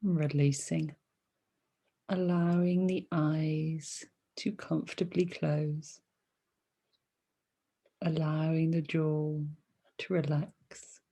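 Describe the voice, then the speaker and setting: A woman leading a guided meditation as a teacher, speaking softly and soothingly in short phrases with long pauses between them. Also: deep voice, level tone. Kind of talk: guided meditation, body scan.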